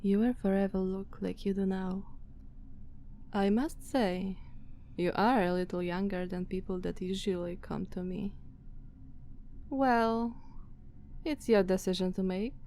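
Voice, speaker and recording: A woman with a Slavic accent voicing a dominant, haughty female vampire master in a soft, commanding ASMR roleplay. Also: medium pitch, steady tone, a little hiss or hum, close to an everyday voice. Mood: calm, imperious. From Slavic country. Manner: slow, soft, commanding, condescending.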